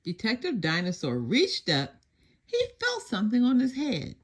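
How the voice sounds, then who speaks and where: A woman reading a children's story aloud in an animated, deliberate and expressive narration. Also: a little high, husky.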